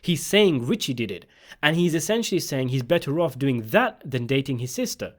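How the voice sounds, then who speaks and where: A man's voice: mid-range voice, very animated, fairly quick. A man narrating a scripted video essay, giving opinionated commentary on a television drama.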